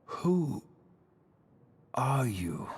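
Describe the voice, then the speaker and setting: An actor playing a dazed, unsure man in a video game, speaking haltingly in a breathy, husky voice. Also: medium pitch, big pitch swings, heavily acted. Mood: bewildered, dazed. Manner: halting, faltering.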